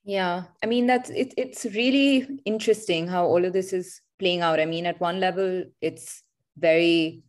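A woman's voice: fairly low pitch, fairly even intonation, normal speed. A woman hosting an interview, framing long, reflective questions and hedging with "you know" and "sort of". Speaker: interview host.

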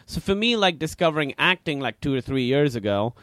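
A man's voice: fairly high pitch, big pitch swings, brisk, a bit bright, dry recording. A man in his thirties with a Pakistani accent, a comedian chatting as a podcast guest, rambling with many fillers and restarts. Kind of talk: casual interview chat.

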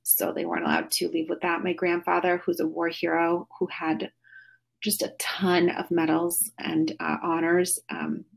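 A middle-aged woman on a podcast call tells her story in a loose, rambling way full of fillers and restarts. Her voice sits low, with a breathy, husky grain and a steady gravelly fry underneath. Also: normal speed. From Russia. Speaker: podcast guest. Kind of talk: casual remote interview. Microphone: phone or video call.

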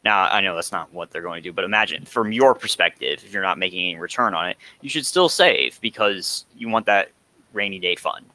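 A man speaks in a lowish voice with a very bright, sharp edge. His delivery is casual and a little halting as he explains. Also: lively intonation, no room sound. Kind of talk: casual discussion.

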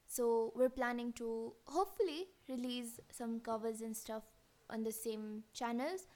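A young woman with a high voice and a mixed, Kenyan-influenced accent chats as a podcast co-host. She talks loosely and giggles often, with "like" in nearly every sentence. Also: unhurried, a little mellow. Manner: giggly, chatty, fillers like "like". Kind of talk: casual chat.

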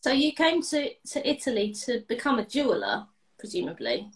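A woman hosting a podcast, introducing and interviewing in a clear presenting role. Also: a little high, wildly swooping pitch, fairly quick.

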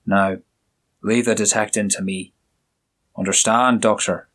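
A man's voice: on the low side, steady tone, unhurried, a bit bright. A man doing a curt, haughty police inspector. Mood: stern. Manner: curt, commanding, condescending. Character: stern, dismissive police inspector, middle-aged man.

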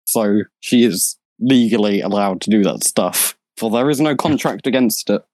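A man's voice: fairly low pitch, normal speed, darkish tone, no echo. A young man co-hosting a podcast, telling stories casually with stumbles and frequent laughter. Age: young adult. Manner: stumbling, giggly. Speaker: podcast co-host. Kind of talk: casual chat.

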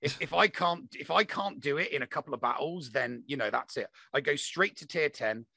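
Gravelly, bright-voiced man arguing his point. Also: fairly high pitch, a little fast, no room sound. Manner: emphatic, insistent, repeats himself for emphasis. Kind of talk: opinionated casual discussion of a mobile game.

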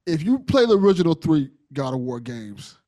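A man's voice: on the high side, big pitch swings, normal speed, dark tone, no room sound, clean studio sound. A man hosting a podcast in casual conversation, earnest and appreciative, often repeating things for emphasis. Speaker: podcast host.

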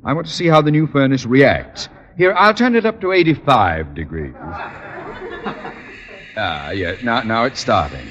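A man's voice: medium pitch, animated, unhurried, a bit bright. An actor playing a pompous, stuffy middle-aged boss and father in a blustering, formal manner for a 1950s radio comedy. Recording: muffled old radio recording, noisy, hall reverb.

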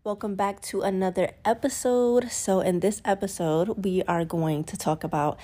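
A woman's voice: medium pitch, steady tone, moderate pace, warm tone, faint music behind. A woman hosting a solo podcast in a casual, candid way. She is a little congested and sniffly and often acts out what people say. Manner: chatty, candid, acts out quoted voices. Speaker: podcast host.

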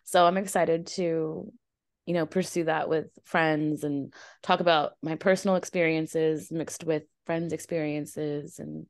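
A young woman with a raspy voice co-hosting a casual podcast chat, rambling and relaxed. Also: low voice, a little slow, no echo. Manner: relaxed, rambling, laughs often. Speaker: podcast co-host.

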